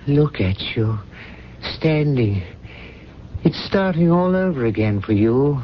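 An actor playing an ailing, devoted man with dry dignity and a halting, weary delivery in a 1970s radio drama. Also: mid-range voice, very animated. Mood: weary, resigned. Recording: dull old radio recording with hiss.

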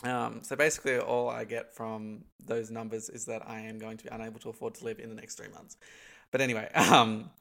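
A man's voice: fairly low pitch, level tone, fairly quick, creaky, a bit bright. A young Australian man with a stuffy, congested nose, chatting casually to camera in a rambling way, full of ums and likes. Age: young adult. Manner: rambling, many ums and likes, laughs between points. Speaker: vlogger.

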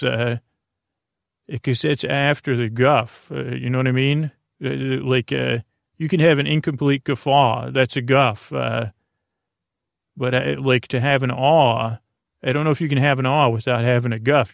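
Gravelly, rambling bedtime podcast host, a man. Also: medium pitch, no echo, studio quality. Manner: meandering, goes off on tangents and wordplay, many fillers.

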